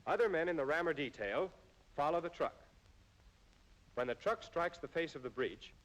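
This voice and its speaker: An American man reading scripted instructional narration in a formal, measured way, as in an old military training film. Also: on the high side, a bit bright, poor audio.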